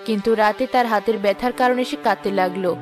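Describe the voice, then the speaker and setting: A woman's voice: mid-range voice, quick pace, over music, lightly acted. A woman storyteller reading scripted narration for a cartoon fairy tale.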